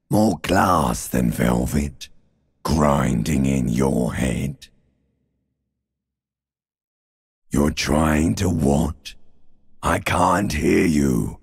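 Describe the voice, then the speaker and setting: A man voicing an ancient reptilian brain in a video game, a menacing and seductive inner voice with a guttural, raspy growl, drawn-out and mocking. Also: very deep voice, exaggerated voice. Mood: dismissive, taunting. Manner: slow, drawn-out, mocking, darkly soothing. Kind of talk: theatrical video game voice acting. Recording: long cavernous reverb.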